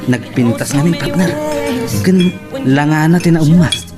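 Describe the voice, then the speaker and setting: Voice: medium pitch, a little slow, music in the background, close to an everyday voice. A performer playing a chummy, advising male singing partner in an Ilocano audio drama, with an Ilocano accent. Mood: bantering. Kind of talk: Ilocano radio-style drama acting.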